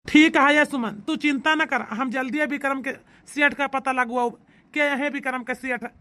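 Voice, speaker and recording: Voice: high-pitched, fairly even intonation, normal speed, pushed and bright, no room sound. A performer voicing a protective, firm elder brother in a cartoon comedy, with an Awadhi accent and an emphatic delivery. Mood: reassuring. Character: protective married man, elder brother, firm problem-solver.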